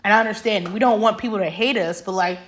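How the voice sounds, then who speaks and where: A woman's voice: mid-range voice, fast, a bit bright. A young woman talking straight to camera in a passionate, emphatic monologue that rambles with restarts. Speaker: vlogger.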